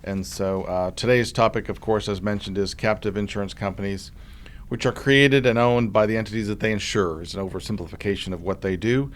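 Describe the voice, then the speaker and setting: A man with a fairly low voice hosts a podcast interview. He talks in an easygoing, conversational way and fills his pauses with uh and um. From Oklahoma. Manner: relaxed, filler-heavy delivery with frequent uh and um. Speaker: podcast host, insurance commissioner.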